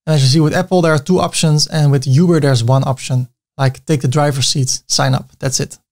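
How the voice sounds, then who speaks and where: A man's voice: on the high side, steady tone, a little fast, a little mellow. A man with a Dutch accent giving a chatty screen-recorded web design tutorial, with self-mocking asides.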